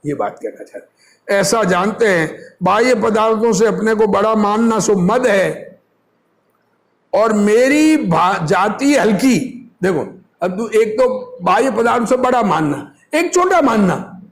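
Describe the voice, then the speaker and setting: A man's voice: high-pitched, expressive, unhurried. A man giving an informal sermon, reading from a text and explaining it didactically, repeating phrases and posing rhetorical questions. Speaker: religious teacher reading and explaining a discourse.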